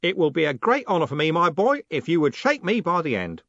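A man doing a booming old merchant. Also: very animated, a little fast, a bit bright, dry recording, highs cut off, exaggerated voice. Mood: booming hearty. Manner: bellowing, booming. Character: loud blunt old male merchant, hearty.

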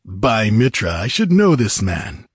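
A man's voice: fairly low pitch, expressive, measured pace, a little mellow, breathy edge, no room sound, heavily acted. A man performs a proud outlaw chieftain of the desert for an adventure audiobook. He is imperious and mocking, a hard man who gives orders coolly. The recording is close and dry. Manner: imperturbable, commanding, scornful. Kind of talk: dramatised audiobook adventure reading.

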